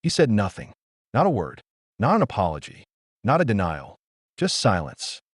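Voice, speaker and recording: Man playing a wounded male survivor, low expressive voice, solemn, defiant, confessional, deliberate dramatic pauses, audio-play monologue. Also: breathy edge, dry recording, clean studio sound, full character voice. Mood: resolute. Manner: deliberate, emphatic, repetitive phrasing with dramatic pauses.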